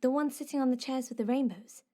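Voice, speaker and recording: Woman doing a little kid, curious n persistent, high soft-edged mellow voice, radio play. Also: quick pace, close to an everyday voice. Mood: eager, insistent. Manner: inquisitive, childlike, simple questioning. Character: young girl, curious, persistent, asking her mum questions. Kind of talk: audio drama acting.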